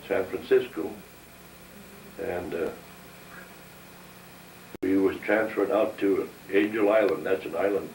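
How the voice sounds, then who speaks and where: A man's voice: fairly low pitch, darkish tone. An elderly man from North Dakota, recounting his life in a plain, matter-of-fact way. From North Dakota, United States. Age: 70s or older. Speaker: interviewee, war veteran. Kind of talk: oral history recollection. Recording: roomy, distant microphone, background hiss.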